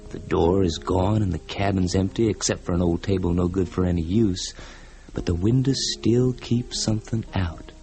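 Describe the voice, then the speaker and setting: A man's voice: low voice, moderate pace, warm tone. An actor playing a folksy, plainspoken American frontier man who reflectively recounts a local haunting in a radio drama. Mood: wistful, reflective. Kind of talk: old-time radio drama narration. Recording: music behind the voice.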